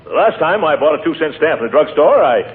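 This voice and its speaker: A man's voice: on the high side, very animated, fast. An actor playing a pompous, blustery, big and fussy male neighbor in a 1940s radio comedy. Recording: tinny old radio broadcast with hiss.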